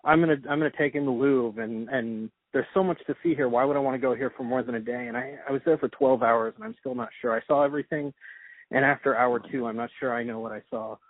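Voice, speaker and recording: A man's voice: mid-range voice, fairly even intonation, fast, dry recording. A man interviewing casually, effusively polite, often stammering and repeating his words as he goes. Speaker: interviewer and podcast host. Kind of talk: casual phone interview chat. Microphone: phone or call line. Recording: tinny, dry phone-like line.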